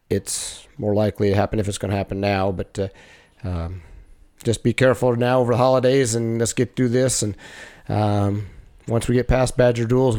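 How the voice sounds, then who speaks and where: A man, a wrestling coach, talking over the season in a casual, halting way, full of fillers and restarts. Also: on the low side, dark tone. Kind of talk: casual chat.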